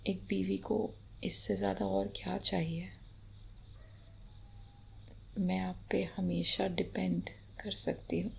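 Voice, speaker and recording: A woman playing an affectionate, playful, devoted wife in an intimate romantic ASMR roleplay, speaking tenderly in a breathy half-whisper with light teasing. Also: medium pitch, flat delivery, slow, pauses often. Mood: tender, earnest. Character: affectionate, playful, devoted Indian wife adoring her husband. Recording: faint background noise.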